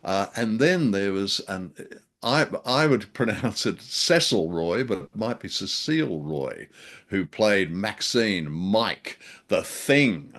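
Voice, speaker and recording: A man's voice: lowish voice, sing-song, takes it slowly, a bit bright. A man co-hosting a casual review discussion, talking in a wry, dryly humorous way with hesitant phrasing. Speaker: podcast co-host.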